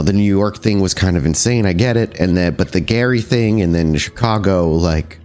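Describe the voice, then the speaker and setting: A man voicing a wary, caring female vampire who speaks in halting, earnest bursts with many restarts. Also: low-pitched. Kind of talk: in-character roleplay dialogue.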